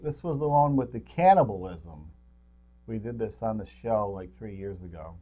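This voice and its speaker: A man's voice: lowish voice, lively intonation, normal speed. Man co-hosting in dull, lo-fi sound. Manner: rambling, jokey, chuckles at own remarks. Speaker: co-host of a local film review show. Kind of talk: casual unscripted chat about movies.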